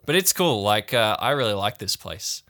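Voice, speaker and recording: Slacker camp boy, voiced by a man, tabletop game: easygoing, vague, self-styled king, bright edge to the voice. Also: lowish voice, very animated, moderate pace, exaggerated voice. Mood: laid-back. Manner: drawling, slangy, vague, full of likes and whatevers.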